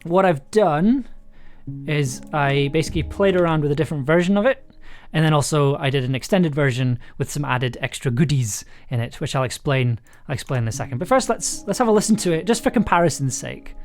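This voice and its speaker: A man with a raspy, throaty voice, talking casually and rambling through a livestream while producing an audio drama. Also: fairly high pitch, lively intonation, fairly quick, a little mellow. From United Kingdom. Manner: rambling, many restarts and fillers. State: raspy, throaty voice after illness. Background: music.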